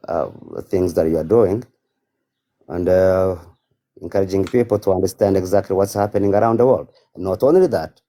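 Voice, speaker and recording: A man speaks as a caller on a live stream. His voice is very low and extremely dark and mellow. He talks off the cuff, haltingly, searching for words in non-fluent phrasing. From Africa. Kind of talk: casual, unscripted political talk.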